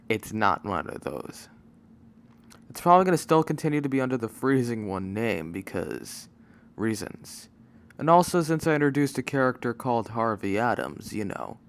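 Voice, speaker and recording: A man giving a casual, unscripted channel announcement as a video creator, halting and rambling as he goes, on a microphone with no pop filter. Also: lowish voice, expressive. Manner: rambling, halting, often losing his train of thought. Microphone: microphone without pop filter.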